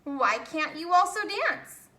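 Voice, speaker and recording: A confident, kindly dancing he-bear from a children's storybook, played by a woman. He encourages and instructs in a reassuring way, with a high, bright voice. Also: expressive, slow, heavily acted. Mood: encouraging. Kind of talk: children's storybook character reading. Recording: roomy, echoey home recording.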